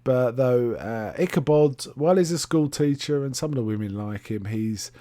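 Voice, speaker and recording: A man hosting a casual solo review, talking in a halting, rambling way and often correcting himself. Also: medium pitch, expressive, mellow, no echo. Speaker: host reviewing film and television.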